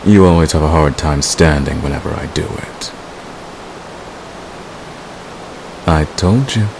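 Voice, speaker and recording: A man playing a smug, seductive fantasy villain who is secretly in love, in an intimate, teasing and unhurried audio roleplay. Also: a bass voice, creaky, background noise. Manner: teasing, unhurried, murmuring to a lover. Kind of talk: romantic audio roleplay, intimate ASMR acting.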